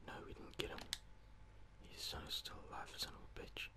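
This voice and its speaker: A young adult gamer whispering casual ASMR gameplay commentary in short muttered reactions, with some swearing. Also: a little fast. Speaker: gaming streamer.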